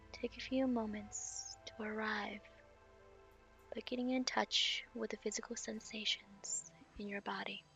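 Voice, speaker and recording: A woman guiding a meditation in a soft, slow, half-whispered voice. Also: medium pitch, vocal fry. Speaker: meditation guide. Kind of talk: guided meditation.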